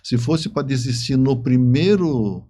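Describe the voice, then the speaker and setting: A man, telling stories in an interview in a halting, emphatic way with repeated words. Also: mid-range voice, expressive, warm tone. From southern Brazil. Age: 70s. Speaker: interview guest, writer and engineer. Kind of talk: conversational interview storytelling.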